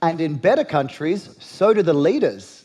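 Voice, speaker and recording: A man's voice: a little high, big pitch swings, normal speed, mellow, slight background noise. Sardonic Australian man doing a comedy monologue. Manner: sardonic, punchy delivery with rising punchlines. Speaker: late-night talk show host.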